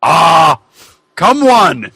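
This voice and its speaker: Man putting on a high, hammy wrestler's ghost. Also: very animated, vocal fry, very noisy, full character voice. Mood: chummy, concerned. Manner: hammy, drawn-out, folksy delivery. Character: chummy, folksy ghost of a male wrestler.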